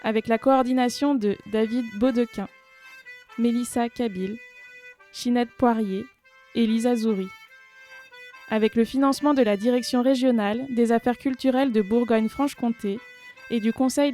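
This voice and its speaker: A woman's voice: fairly high pitch, fairly even intonation, moderate pace, warm tone, faint music behind. A woman reading scripted credits as an announcer.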